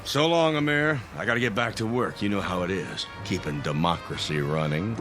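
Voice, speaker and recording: A man's voice: low voice, moderate pace, music in the background, lightly acted. A man playing a folksy, wily local politician in an audio drama, blustering and full of old-fashioned slang. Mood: breezy. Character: folksy, wily local politician haggling over a used car.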